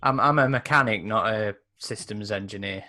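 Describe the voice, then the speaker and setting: A man's voice: lowish voice, moderate pace, crowd noise. A man chatting casually and a bit swearily as a player in a tabletop game. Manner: mildly sweary.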